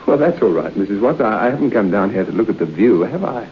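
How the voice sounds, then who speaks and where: An actor playing an urbane, sceptical, self-assured gentleman in an old radio drama. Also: fairly low pitch, very animated, fast. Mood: breezy, confident. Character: breezy, sceptical gentleman making a wager. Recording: muffled old radio recording with hiss.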